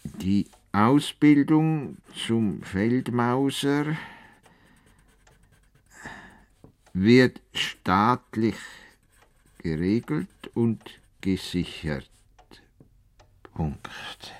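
A man's voice: mid-range voice, animated, extremely slow. A man playing a stubborn, eccentric older Swiss farmer and would-be world reformer in a radio play, with a Swiss German accent and a grumbling, self-important manner. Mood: solemn dictating. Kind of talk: radio play acting, muttered monologue and dictation.

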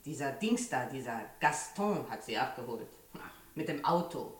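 An actor playing a nosy, grumbling neighbour with a blunt, familiar manner in a 1960s German radio play. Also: male voice, mid-range voice, lively intonation, normal speed, natural voice. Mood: gossipy. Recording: echoey old radio recording, slightly distant.

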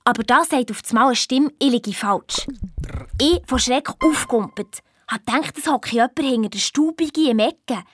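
A high, youthful girl's voice acted by a woman with a Swiss German accent. She is a spirited, quarrelsome kid detective who tells her adventure in everyday language, as in a children's audio drama. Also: normal speed, no echo, close to an everyday voice. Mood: eager storytelling. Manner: colloquial, animated storytelling with cheeky retorts. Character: spirited, bickering young girl detective telling her adventure.

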